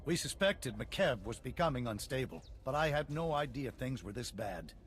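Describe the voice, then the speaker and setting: An actor playing an earnest leader of a besieged planet, speaking in a formal way. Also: male voice, medium pitch, expressive, normal speed, lightly acted. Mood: grateful, regretful.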